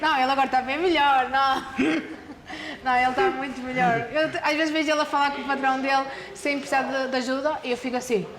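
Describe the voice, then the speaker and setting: A woman's voice: high-pitched, fairly even intonation, fast, a crowd in the background. A young woman from Porto with a northern Portuguese accent, chatting in an interview with frequent giggles. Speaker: interviewee, fighter's wife.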